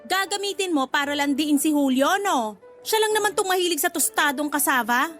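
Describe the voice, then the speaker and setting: A lovestruck young Filipina food vendor with a high voice. She is sassy and quick to get defensive, giggling in a radio drama manner, with faint music underneath. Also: animated, a bit bright. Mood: indignant, suspicious. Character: jealous, smitten young woman cassava vendor named Cassandra.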